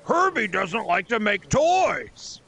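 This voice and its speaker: A man's voice: high voice, very animated, slow pace, gravelly, exaggerated voice. An actor playing a bossy, hot-tempered male foreman elf who scolds in a comic Christmas radio play. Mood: impatient, scolding. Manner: scolding, exasperated, shouting.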